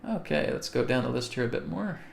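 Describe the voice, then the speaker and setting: Man, gravelly voice, live webcast host, slow deliberate Q&A, echoey room. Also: mid-range voice, animated, a little hiss or hum. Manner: slow and deliberate, checks in with "okay?" often. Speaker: webcast host answering viewer questions.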